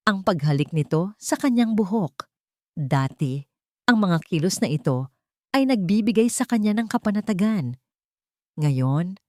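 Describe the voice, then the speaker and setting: A woman with a fairly low voice narrates a dramatic audiobook. She phrases it in a measured way, pausing for effect, and the sound is dry with very little room in it. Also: darkish tone, no room sound. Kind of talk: dramatic scripted story narration.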